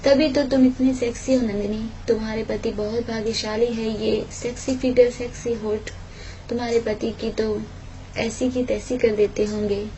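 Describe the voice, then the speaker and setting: A woman voicing a seductive, flattering male lover in an audio story, on a noisy home-style recording. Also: fairly high pitch, normal speed, close to an everyday voice. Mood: seductive, admiring. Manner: seductive, complimentary. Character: flirtatious, admiring male lover.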